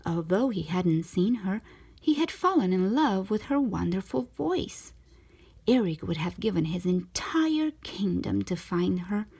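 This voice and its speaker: Slow, breathy woman reading a storybook. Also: mid-range voice, very animated, dark tone. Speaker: storyteller reading a children's book aloud. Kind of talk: expressive children's storybook narration.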